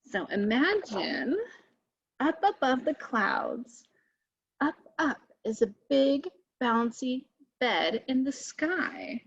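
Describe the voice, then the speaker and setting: A woman leading children's storytime, reading aloud deliberately and putting simple questions to her young listeners, in a slightly muffled recording. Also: high-pitched, big pitch swings, creaky, husky. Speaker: children's storytime reader at a public library. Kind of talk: read-aloud picture book and simple chat addressed to children.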